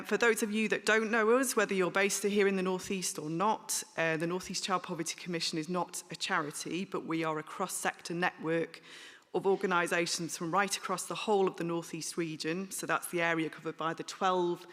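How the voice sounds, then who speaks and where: A hoarse woman, speaking on a conference panel in a friendly, chatty way. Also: fairly low pitch, steady tone, brisk, fairly bright tone. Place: conference hall. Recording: reverberant conference hall.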